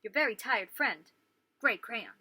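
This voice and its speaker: An exhausted, frantic crayon from a picture book, complaining in exasperation, as a woman reader puts it on. The pitch is high and the tone bright and sharp. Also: animated, a little slow, breathy edge, dry recording, full character voice. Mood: exasperated. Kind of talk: children's picture book read-aloud.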